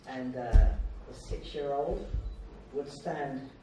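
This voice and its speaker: Older male speaker, Australian accent, conversational lecture in short halting phrases, distant mic in a noisy, reverberant hall. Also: medium pitch, steady tone. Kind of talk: informal public talk.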